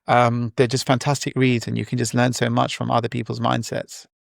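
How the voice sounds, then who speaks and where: Gravelly-voiced man musing in an interview. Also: medium pitch, completely flat delivery, a little fast, no room sound, clean studio sound. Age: 40s. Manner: reflective, rambling with many fillers and restarts. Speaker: guest entrepreneur. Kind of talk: casual interview talk.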